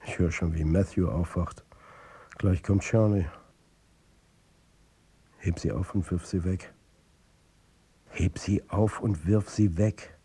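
A troubled football coach who confides his own story in a radio drama. His low voice is mellow and somewhat husky, a family man narrating in the first person. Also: steady tone, takes it slowly, pauses often, full character voice. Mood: tense, shaken. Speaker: narrator and lead character.

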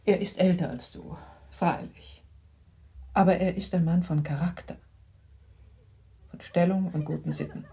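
An actress playing a genteel, purposeful middle-aged mother in a literary radio play, speaking in a deliberate, earnest manner. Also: fairly low pitch, creaky, dark tone. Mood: earnest, urging.

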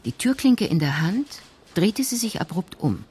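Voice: mid-range voice, sing-song, normal speed, darkish tone, slight background noise. A narrator reading scripted literary prose for a radio crime drama.

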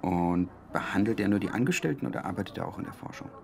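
An eager journalist, low voice, gravelly edge, faint noise. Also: male voice, lively intonation. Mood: methodical. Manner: brisk, methodical questioning. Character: eager young male journalist Johnny. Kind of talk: radio drama acting.

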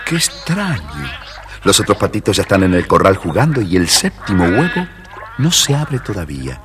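A man narrating a scripted children's story in an expressive, measured way. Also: low voice, music in the background.

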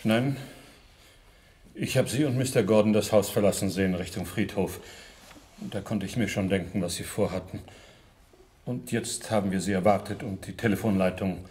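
A man playing a calm, knowing villager in a radio crime play, speaking with composed deliberation. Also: on the low side, moderate pace, pauses often, lightly acted. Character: calm, confident villager revealing a conspiracy, Sam.

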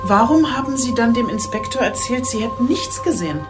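An actress playing a tough, commanding chief police inspector, brusque and direct, in an audio drama. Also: female voice, on the high side, lively intonation, brisk, over loud music, natural voice. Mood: probing, calm.

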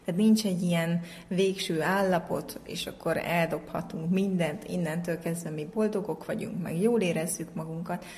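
Low, even-voiced woman over background noise. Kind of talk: informal explanatory talk.

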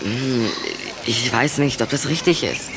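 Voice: medium pitch, a little slow, very noisy, natural voice. A performer playing a nervous, evasive schoolboy in a German children's radio play, with a hesitant, earnest delivery. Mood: sly, earnest.

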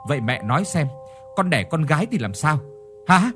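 A man's voice: mid-range voice, sing-song, a little fast, darkish tone, lightly acted. A man voicing a wronged young wife, anguished and defiant, with a tearful, choked delivery in a dramatic audio story. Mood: choked, pleading. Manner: tearful, choked, repeating phrases in outrage. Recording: music behind the voice.

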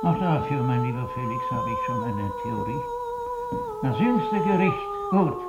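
A man's voice: sits high, wildly swooping pitch, measured pace, highs cut off, loud music behind the voice, heavily acted. An actor playing a learned man in a German radio mystery set in 1914 Vienna, musing and lecturing with emphasis. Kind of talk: German radio play acting. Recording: light background noise.

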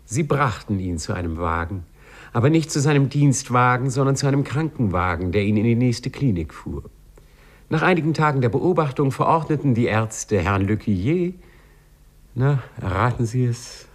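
A man's voice: mid-range voice, expressive, moderate pace, lightly acted. A man playing a sly, wry narrator who addresses the listener in a 1950s German radio play. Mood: wry, dramatic.

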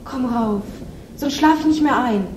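An uneasy wife in a radio play. Her voice is high and very lively, set in a roomy scene with clear storm noise behind. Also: a little slow, recorded from a distance. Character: uneasy wife calling her husband to bed at night. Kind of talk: German radio drama dialogue. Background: thunderstorm ambience.

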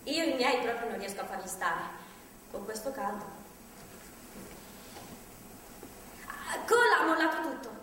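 An actress on a theatre stage playing a chatty, nervously cheerful visitor who rambles with hesitant asides. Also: female voice, on the high side, a bit bright. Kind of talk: live stage acting, monologue-like chatter. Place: theatre stage, picked up from far off. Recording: distant hall recording, echoey, faint noise.

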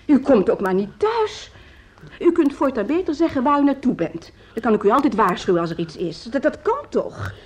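High-voiced, fussing landlady. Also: very animated, normal speed. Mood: mildly reproachful. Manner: flustered, hesitant, repeating herself. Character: fussing, deferential landlady, anxious and motherly. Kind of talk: radio drama acting.

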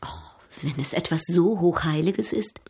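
An actress playing a worldly, teasing older baroness in a radio drama, polished, condescending and coquettish. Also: female voice, lowish voice, moderate pace, warm tone, husky. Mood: coquettish, persuasive. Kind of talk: German radio drama acting.

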